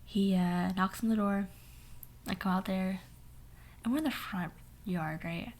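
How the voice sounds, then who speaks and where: A young woman with a hoarse voice telling a sassy, dramatic story in a soft whisper. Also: mid-range voice, unhurried. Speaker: ASMR storyteller. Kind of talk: whispered ASMR story time.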